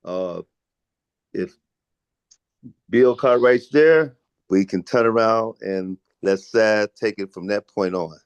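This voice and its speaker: Slow, low-voiced man on a call. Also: clear, resonant tone, no echo, highs cut off.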